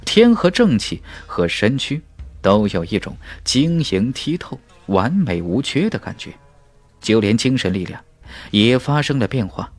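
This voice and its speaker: A male narrator reads fantasy fiction with dramatic emphasis and measured phrasing. His pitch swings in a lively way, and the sound is dry with soft music behind it. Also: no room sound. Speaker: audiobook narrator. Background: faint music.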